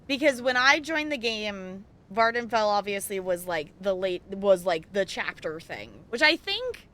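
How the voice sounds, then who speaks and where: Bright, animated woman chatting on stream. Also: medium pitch, unhurried. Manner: rambling, thinking aloud. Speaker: game livestream host. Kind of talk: casual chat while playing an online game.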